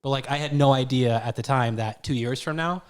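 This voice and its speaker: A man's voice: medium pitch, fairly quick, clear, resonant tone. Nasal-sounding man chatting loosely. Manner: rambling, hesitant, many restarts. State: slightly nasal from a cold. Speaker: podcast guest, former host. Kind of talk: casual chat.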